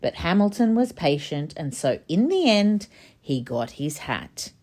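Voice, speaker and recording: A woman reading a children's storybook aloud, expressive and emphatic in her narration. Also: lowish voice, a little slow. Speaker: storybook reader.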